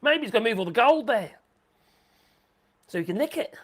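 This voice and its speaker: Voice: mid-range voice, lively intonation, normal speed. A livestream host rambling through sarcastic commentary, saying the voice is going. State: losing voice.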